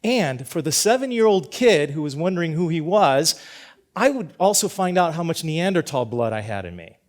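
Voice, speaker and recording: Man, middle-aged, from Cuba, teacher, earnest storytelling talk, slightly high voice, frequent restarts. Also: sing-song, normal speed. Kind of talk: prepared personal talk.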